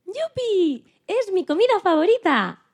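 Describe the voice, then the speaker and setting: A woman's voice: very high-pitched, very animated, measured pace, exaggerated voice. A woman voicing a sweet, trusting little sheep in a childlike way for a children's storybook radio play. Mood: delighted. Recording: loud noise and clicks.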